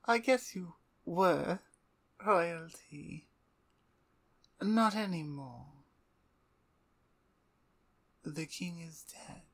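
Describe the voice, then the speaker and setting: A woman playing a menacing, playfully dominant female vampire in an ASMR audio roleplay, with a breathy, husky voice and a taunting, mock-courteous manner. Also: on the low side, animated, drawn-out words, exaggerated voice. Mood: mocking, triumphant.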